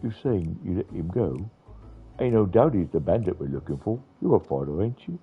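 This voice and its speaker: Guy putting on a gruff folksy fighter for a game, blunt, deep rough voice, dull recording. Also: big pitch swings, moderate pace, soft background music, full character voice. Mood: challenging.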